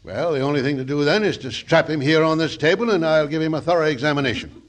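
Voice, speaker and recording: An actor playing a pompous, sly, money-hungry veterinarian in 1940s radio comedy, speaking in a deliberate, grandiloquent way. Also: male voice, a little high, lightly acted. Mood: brisk, impatient. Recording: old 1940s radio broadcast.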